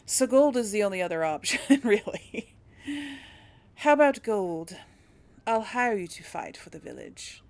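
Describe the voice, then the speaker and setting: A woman's voice: mid-range voice, very animated, unhurried, a little mellow. A woman giving casual role-play video game commentary, thinking aloud in a hesitant, conversational way. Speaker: gaming video host and player.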